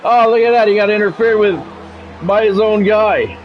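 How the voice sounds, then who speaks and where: A man's voice: high-pitched, moderate pace, dull audio, soft background music. A middle-aged man doing live football game commentary as a streamer, with a practiced sportscaster delivery and casual asides. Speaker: video game streamer and play-by-play announcer.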